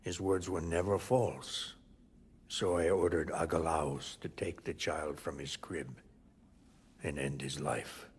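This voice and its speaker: A man's voice: a bass voice, fairly even intonation, slow, husky, exaggerated voice. An actor playing an old, commanding, guilt-ridden king of Troy in a video game, with a regal, weighty delivery. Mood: moved, solemn. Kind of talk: dramatic video game acting.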